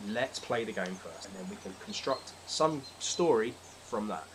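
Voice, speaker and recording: A man's voice: on the low side, animated, moderate pace. A man speaking as a podcast guest in casual, opinionated chat, exasperated and sweary. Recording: clear background noise.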